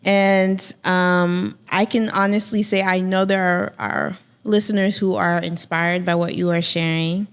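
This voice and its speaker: A woman's voice: lowish voice, level tone, unhurried, hardly any pauses, smooth. A woman co-hosting a podcast, interviewing in a casual conversational style. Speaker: podcast co-host.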